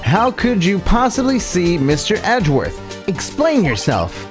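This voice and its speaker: A man streaming a video game and reading its dialogue aloud theatrically, sometimes haltingly. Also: sits high, wildly swooping pitch, over music. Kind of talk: dramatic read-aloud game playthrough.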